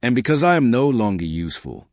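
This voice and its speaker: A man voicing a weary, dignified older alien bride in a formal, terse, measured way for an audio story. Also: medium pitch, sing-song, a little mellow, no room sound, clean studio sound. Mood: weary, guarded.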